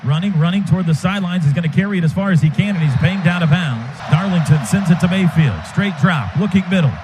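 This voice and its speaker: A man doing live radio play-by-play of a football game, quick clipped calls that build to shouts on big plays. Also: sits high, music in the background. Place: stadium radio booth. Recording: radio broadcast with loud crowd noise.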